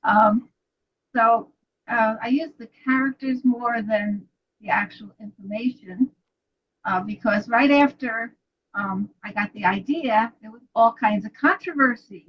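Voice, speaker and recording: A woman's voice: a little high, a little slow, a little hiss or hum. An older woman from New York with a Brooklyn accent, an author chatting about her books over a video call. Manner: chatty storyteller, laughs often. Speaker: author guest. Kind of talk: casual interview chat. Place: home study with bookshelves. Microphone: video call webcam. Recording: dull lo-fi video call audio.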